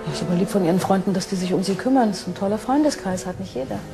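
A woman's voice: mid-range voice, very fast, music in the background, close to an everyday voice. A woman acting a composed, direct police detective in a crime radio play, asking pointed questions. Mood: calm, probing.

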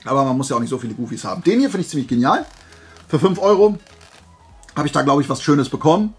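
A man with a fairly high, somewhat rough-edged voice talks casually to his viewers as a collector showing his finds. He rambles and hesitates, correcting himself as he goes. Faint music plays behind him. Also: lively intonation, quick pace. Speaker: YouTube collector showing flea market finds. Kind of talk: casual haul vlog chat.

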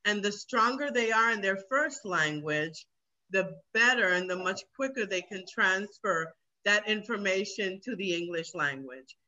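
An earnest woman teacher explains her work in a very bright, sharp voice, often repeating words to drive a point home. The sound is dull and lo-fi, like audio from a video call. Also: mid-range voice, expressive, measured pace. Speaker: middle school ESOL teacher. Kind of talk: interview answer, explanatory.

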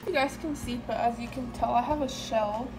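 A woman's voice: fairly high pitch, moderate pace, noisy background. A young woman talking casually to the camera while baking in her home kitchen, rambling and chatty with lots of fillers. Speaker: vlogger. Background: background music.

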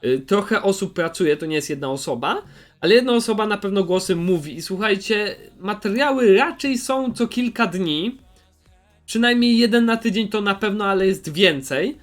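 High-voiced young man, rambling casually. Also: expressive, normal speed. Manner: hesitant, rambling, repeats himself. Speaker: YouTuber presenting recommended channels. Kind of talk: casual unscripted commentary.

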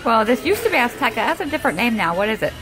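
A woman in her fifties with an American accent, chatting casually into a handheld phone camera, folksy and quick to laugh. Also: on the high side, very animated, over music, low-quality recording. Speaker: vlogger. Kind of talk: casual vlog chat. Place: inside a vehicle.

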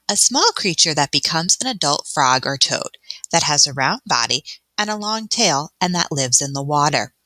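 A woman teacher reading an educational book aloud for children, adding explanations in a clear, expressive, instructional way. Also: on the low side, no room sound, low-quality recording. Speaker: elementary school teacher.

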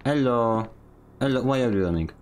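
A young man from Poland talks over his game stream, rambling through jokes and quick asides to chat. His voice sits at an ordinary middle pitch. A faint hiss runs under the recording. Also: expressive. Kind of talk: casual gaming stream chat.

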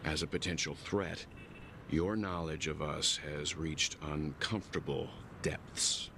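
Stern, very deep-voiced guardian. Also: male voice, steady tone, creaky, noisy background, exaggerated voice. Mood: cold, threatening. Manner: measured, formal, commanding. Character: stern, guarded bespectacled man, protective and threatening. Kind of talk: scripted game dialogue. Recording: game dialogue over background music.

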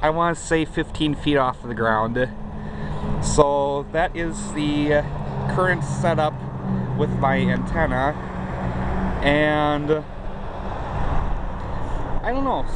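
Slow, rambling man with a handheld camera. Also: on the high side, background noise. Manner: rambling, hesitant with many fillers. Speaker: hobbyist vlogger. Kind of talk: casual show-and-tell. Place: outdoors in a front yard, then at a computer.

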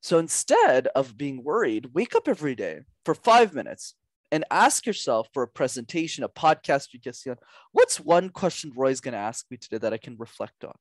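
A young man, a podcast guest and communication coach, giving energetic coaching advice with lots of emphasis and repetition over a headset mic at home. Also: medium pitch, normal speed, dry recording. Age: young adult. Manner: animated, gestures a lot, drives points home with repetition. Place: small room at home.

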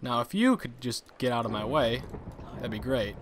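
A young man casually commenting on his own gameplay, chatty and quick to laugh at his mistakes. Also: mid-range voice, lively intonation, background noise. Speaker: gaming channel host.

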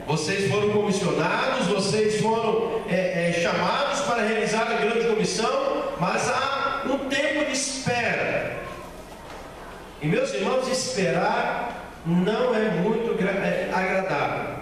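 A man in his thirties with a Brazilian accent, preaching a sermon slowly and emphatically with a handheld mic, repeating key phrases. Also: high voice, fairly bright tone, a little hiss or hum. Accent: Brazilian Portuguese. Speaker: pastor. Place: large bare church hall with a small stage. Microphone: handheld mic, filmed by far camera. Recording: echoey hall from far off.

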